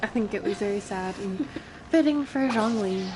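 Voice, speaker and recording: A woman's voice: mid-range voice, moderate pace, over music. A woman game streamer giving casual livestream commentary in an informal, chatty, rambling way.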